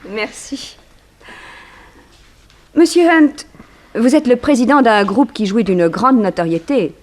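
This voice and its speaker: An actress playing a polite, inquisitive newspaper reporter in a 1960s French radio drama, poised and gracious. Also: female voice, fairly high pitch, takes it slowly.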